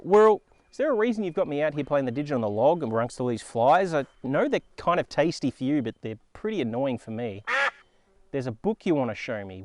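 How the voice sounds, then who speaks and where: An Australian man presenting and reading a story for young children in a playful, expressive manner. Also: on the high side, dry recording. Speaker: children's storyteller and show host. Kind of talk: children's read-aloud and playful presenting to a puppet-like companion. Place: outdoors in a forest.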